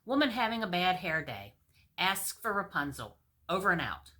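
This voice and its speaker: A squawking fairy squad leader, put on by a woman, barks clipped orders as if over a walkie-talkie. The storybook voice is bright, forceful and brisk. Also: fairly low pitch, very animated. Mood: brisk, official. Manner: squawking, clipped radio talk.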